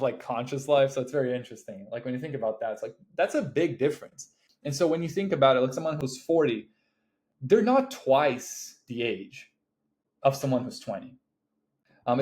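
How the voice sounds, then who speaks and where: A man's voice: medium pitch, darkish tone. A man in his late twenties chatting casually in a vlog, rambling with lots of "like" and "um" and casual swearing. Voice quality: rambling, heavy use of fillers like "like" and "um", swears casually. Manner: laughs between thoughts. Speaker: video creator. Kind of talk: casual talking-to-camera vlog.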